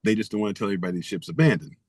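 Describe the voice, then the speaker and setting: A man's voice: lowish voice, very fast, a little mellow, dry recording, clean studio sound. A man guest author in an interview, speaking emphatically and stacking rhetorical questions. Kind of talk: interview conversation.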